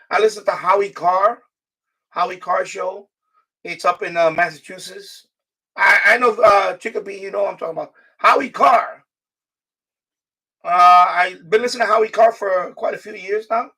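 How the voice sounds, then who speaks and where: A man's voice: high voice, sharp tone, husky, recorded from a distance, poor audio. A man hosting a casual live stream, chatting in a rambling way and repeating himself. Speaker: live stream host, radio hobbyist. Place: New York City.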